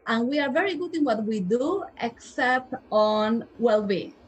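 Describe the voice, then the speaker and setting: A woman's voice: fairly high pitch, lively intonation, unhurried, breathy edge, poor audio. A woman in her forties from Peru with a Peruvian Spanish accent, a physician guest speaking earnestly and emphatically in a podcast interview.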